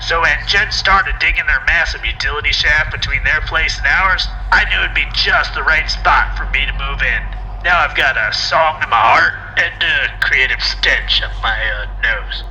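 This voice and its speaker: A highish, very bright male voice plays an eccentric songwriter in a game audio log. He sounds folksy, grubby and pleased with himself. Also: normal speed, slight background noise, low-quality recording. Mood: cheerful, self-satisfied. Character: eccentric, cheerfully grubby male musician and lyricist.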